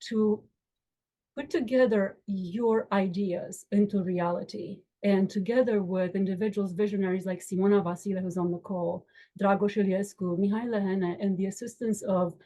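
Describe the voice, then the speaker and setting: A woman's voice: medium pitch, steady tone, mellow. A woman from Romania, an attorney, addressing a virtual community meetup in an earnest, uplifting way on a video call. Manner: earnest, uplifting, slow and deliberate with emphatic lists. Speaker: attorney and education board member.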